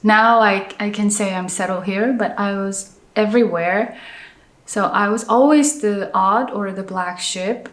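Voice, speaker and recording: A middle-aged Korean woman with a Korean accent, an architect talking about her life in an interview. She speaks in a halting, thoughtful way with many restarts and soft fillers. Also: medium pitch, reverberant. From South Korea. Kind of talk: reflective interview conversation.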